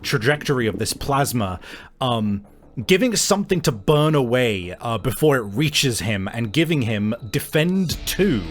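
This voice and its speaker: A man running a tabletop roleplaying game as game master, narrating in a casual, excitable, sweary way. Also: medium pitch. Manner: casual, profane, excitable storytelling. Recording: music playing behind the voice. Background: background music.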